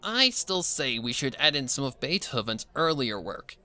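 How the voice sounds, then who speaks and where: A man's voice: mid-range voice, very animated, moderate pace, bright, forceful tone, a faint crowd. A man voicing a fussy classical musician pony in a story, earnest and opinionated.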